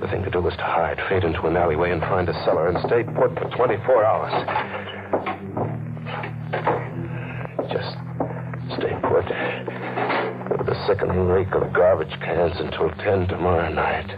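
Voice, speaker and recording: A man's voice: low voice, a little fast, heavy background noise. Desperate hunted man, muffled recording. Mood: fearful, desperate. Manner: tense, dramatic, clipped hard-boiled narration. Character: desperate hunted man narrating his ordeal. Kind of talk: 1940s radio acting. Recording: muffled old radio recording with loud music.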